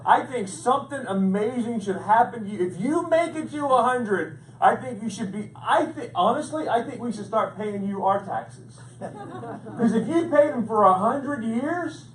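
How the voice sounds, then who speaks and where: A male pastor preaching a humorous sermon, telling jokes in a playful, animated way. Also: extremely high pitch, unhurried. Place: large church hall. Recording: echoey hall, distant mic, background noise.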